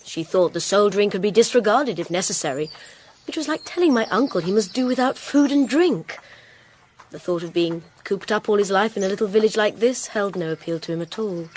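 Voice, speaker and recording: An actress playing a proud, guarded rector's wife in a Victorian radio drama, speaking formally. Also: female voice, medium pitch, lively intonation, fairly quick, a little mellow, slight background noise, lightly acted. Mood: reserved, proud. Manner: formal, crisp-worded, defensive. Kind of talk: period radio drama acting.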